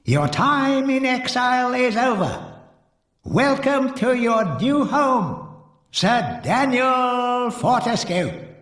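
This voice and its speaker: An actor playing a solemn ghostly hero who gives guidance in a video game cutscene. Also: male voice, very high voice, big pitch swings, slow pace, fairly bright tone, full character voice. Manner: solemn, ceremonial.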